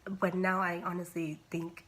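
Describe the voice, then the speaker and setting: Slightly raspy, lower-pitched young woman vlogger. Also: little pitch movement, measured pace, a bit bright. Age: young adult. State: recovering from a cold. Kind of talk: casual personal talk to camera. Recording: clear background noise, homemade vlog.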